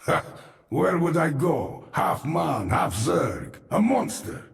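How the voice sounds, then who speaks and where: Gravelly, weary outcast. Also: male voice, fairly high pitch, sing-song, slow pace, roomy sound, full character voice. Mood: bitter. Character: bitter half-human, half-alien outcast, weary, sardonic. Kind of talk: video game cinematic acting.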